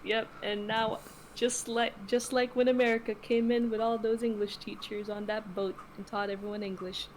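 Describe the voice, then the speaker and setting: A woman's voice: a little high, level tone, moderate pace, a crowd in the background, rough recording. A woman whose first language is Tagalog, co-hosting a stream in casual, chatty talk and laughing easily. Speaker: co-host. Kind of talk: casual chat.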